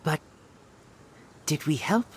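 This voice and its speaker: Guy doing a wild wolf boy, simple choppy sentences, slow and plain. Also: slight background noise, close to an everyday voice. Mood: earnest. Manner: halting, clipped short phrases. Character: young man raised by wolves, blunt, simple.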